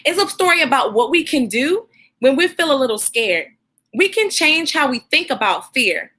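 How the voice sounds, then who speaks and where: High bright lady teacher voice, reading storytime to kids, encouraging and expressive. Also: normal speed. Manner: encouraging, addresses young listeners directly. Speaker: read-aloud host and teacher. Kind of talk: expressive children's storybook read-aloud and chat.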